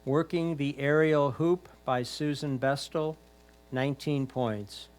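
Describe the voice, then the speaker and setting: A man's voice: a little high, steady tone, slow, a little mellow, low-quality recording. A man reading out titles and scores as a competition announcer, in a formal announcing style.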